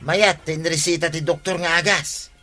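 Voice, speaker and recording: A man's voice: on the high side, steady tone, normal speed, pushed and bright, faint music behind. An actor playing a grateful village man in an Ilocano radio drama.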